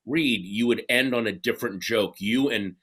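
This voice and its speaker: A man on a reunion panel, reminiscing casually in an effusive, halting way. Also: fairly low pitch, fairly bright tone, slightly rough. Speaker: cast member on reunion panel.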